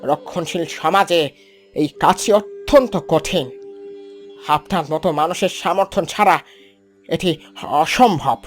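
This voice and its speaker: A man voicing a polite, concerned British gentleman philanthropist in a cartoon, with formal, stiff diction. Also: on the high side, slow pace, soft background music. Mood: earnest, concerned. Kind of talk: scripted cartoon dialogue.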